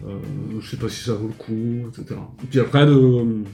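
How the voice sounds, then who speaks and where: A man in his forties, a musician from Paris, talks in a relaxed, rambling way, often stopping to search for words. His voice is dark and mellow, set in a noticeably roomy space with faint music underneath. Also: medium pitch, fairly quick. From Paris, France. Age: 40s. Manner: hesitant, many fillers and restarts. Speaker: musician and music video director, guest. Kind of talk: casual interview chat.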